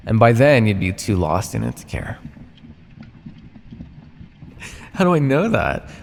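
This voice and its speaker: Man playing a creaky, swooping, condescending vampire captor. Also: medium pitch. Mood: smug taunting. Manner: taunting, patronising, theatrical, with deliberate pauses. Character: ancient condescending male vampire captor, smug and menacing. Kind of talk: ASMR roleplay monologue. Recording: long reverb, noisy background.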